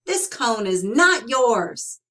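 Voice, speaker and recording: A woman's voice: on the high side, very animated, takes it slowly, pushed and bright, heavily acted. A woman voicing a flighty, impulsive young male squirrel, crying out urgently in a storybook read-aloud. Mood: desperate.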